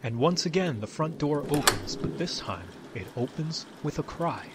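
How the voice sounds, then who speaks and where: A man's voice: fairly high pitch, animated, moderate pace, noisy background. A man delivering scripted fantasy narration as the storyteller of an audio tale. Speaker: narrator, game-style storyteller.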